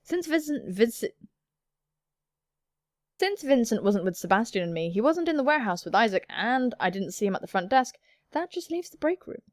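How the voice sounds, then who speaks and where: A woman's voice: on the high side, normal speed, no echo, studio quality. A woman hosting gaming videos, reading a visual novel aloud with playful, theatrical commentary and casual swearing. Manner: expressive, theatrical, jokey swearing.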